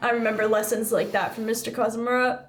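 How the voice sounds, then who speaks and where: A woman chatting casually as a player in a tabletop game, excitable and quick to swear. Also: fairly high pitch, faint music behind. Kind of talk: casual chat during game play.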